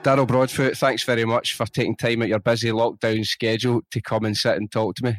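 A man's voice: mid-range voice, level tone, fairly quick, no room sound, soft background music. A Scottish man hosting a podcast, chatting in a casual, jokey, self-deprecating way. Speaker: podcast host.

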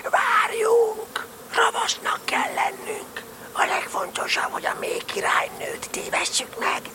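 High-pitched, self-important little toy bear. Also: female voice, level tone, whispered. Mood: conspiratorial. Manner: solemn, self-important pronouncements. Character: small earnest, scheming toy bear, naive and self-important. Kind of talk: audio drama storybook acting.

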